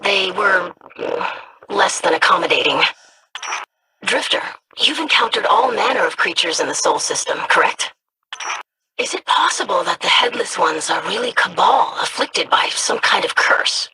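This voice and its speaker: A performer voicing an earnest, studious scribe in a video game, speaking in a measured, scholarly manner. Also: mid-range voice, expressive, sharp tone, a little hiss or hum, rough recording. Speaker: scribe investigating monsters.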